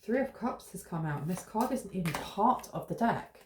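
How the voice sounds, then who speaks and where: British woman, tarot reader, casual and meandering, middle pitch, recorded in a roomy, echoey space. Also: animated, normal speed, a little hiss or hum. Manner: musing, meandering, thinking aloud with fillers. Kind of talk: casual tarot reading to camera. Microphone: camera close in front. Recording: echoey room.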